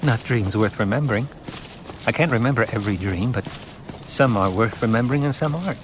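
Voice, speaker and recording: A man's voice: medium pitch, fairly quick, noisy background, close to an everyday voice. A man voicing an earnest, guarded teenage boy in an audiobook, lighter and dreamy in manner.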